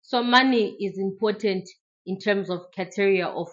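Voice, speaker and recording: A woman's voice: medium pitch, moderate pace, dull audio. A woman hosting a casual interview, chatty and informal, with lots of "like" in her questions. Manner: chatty, informal, many "like" fillers. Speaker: host.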